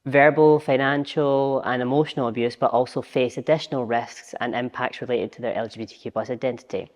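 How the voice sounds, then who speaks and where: A man's voice: mid-range voice, in a large hall. A young man giving a scripted informational presentation for a training film. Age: young adult.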